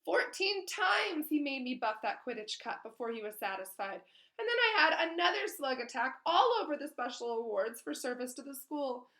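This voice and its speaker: A woman reader plays a young wizard schoolboy in a storybook. He is a put-upon grumbler, high in pitch and emphatic in his complaints. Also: very animated, normal speed, bright, forceful tone, heavily acted. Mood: groaning.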